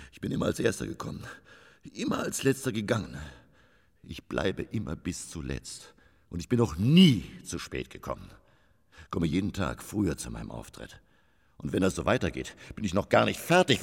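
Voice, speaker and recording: An actor playing an aging, bitter stage actor in a radio drama, raspy and declamatory, swinging between bluster and muttering. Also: male voice, low voice, exaggerated voice. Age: older. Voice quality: gravelly, raspy.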